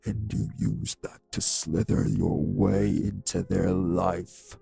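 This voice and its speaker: A man playing a refined, bitter, possessive vampire sire in an audio drama, with formal, deliberate, menacing diction. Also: low voice, steady tone, creaky, mellow, breathy edge, no room sound, faint music behind, heavily acted. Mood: bitter, jealous. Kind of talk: dramatic audio roleplay acting.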